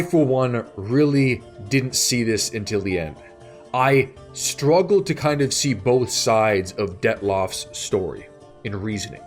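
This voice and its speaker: A man narrating a scripted video essay in a measured way, pausing between short phrases. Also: medium pitch. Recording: music bed behind the voice. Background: background music.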